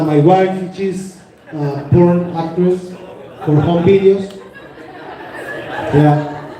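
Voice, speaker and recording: Mexican-accented man, high voice, mellow tone, broken English with Spanish slang, stand-up comic, echoey club. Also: a little hiss or hum. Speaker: stand-up comedian. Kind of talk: stand-up comedy set. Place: comedy club stage. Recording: echoey room from far off, crowd behind.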